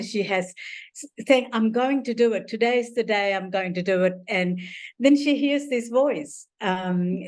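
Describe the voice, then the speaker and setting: An older woman from Sri Lanka, an author and family therapist, answers interview questions. She talks at length in a rambling, warm way, full of "you know" fillers and restarts. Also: fairly high pitch.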